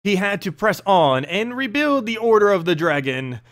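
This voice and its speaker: A man narrating a fantasy story in an expressive, dramatic storyteller's manner. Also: high-pitched, normal speed. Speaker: narrator reading game lore.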